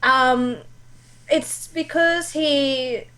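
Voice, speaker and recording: A woman with a high voice chats informally as a guest. She is opinionated and puts firm emphasis on her points, in the manner of a devoted fan. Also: very slow, bright, forceful tone. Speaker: guest voice actor. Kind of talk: casual chat, opinionated fan discussion.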